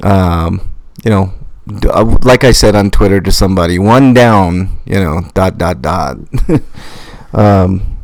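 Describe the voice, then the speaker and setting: Hoarse male podcast host, very low pitch, blunt and rambling. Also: slow pace. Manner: rambling, filler-heavy, blunt. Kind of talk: casual live-stream chat.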